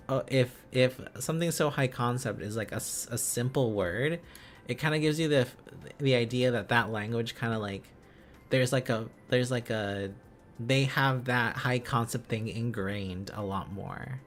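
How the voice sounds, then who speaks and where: A man chatting casually as a livestream host, musing aloud in halting, repeated phrases. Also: medium pitch, expressive, slight background noise. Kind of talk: casual livestream chat while learning a language.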